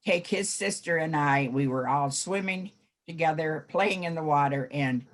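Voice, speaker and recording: An 89-year-old American woman reminiscing in a group interview, with halting, deliberate phrasing. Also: low voice. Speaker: former scout troop helper.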